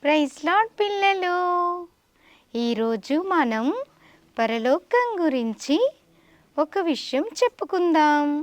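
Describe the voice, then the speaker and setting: A woman speaking as a Sunday school teacher, telling children a religious story deliberately and checking in with questions. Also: high voice, big pitch swings.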